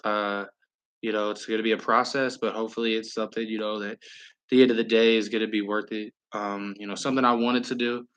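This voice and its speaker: A man's voice: fairly low pitch, little pitch movement, quick pace, a bit bright, clear, resonant tone. A man from Southern California hosting a live stream, chatting casually and calling plays, rambling with lots of fillers and casual swearing. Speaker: livestream host and play-by-play commentator.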